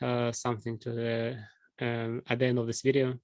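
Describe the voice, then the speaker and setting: A man who is not a native English speaker, hosting an interview and asking questions haltingly, searching for words with many fillers. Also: medium pitch, completely flat delivery, darkish tone, no room sound. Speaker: interviewer host.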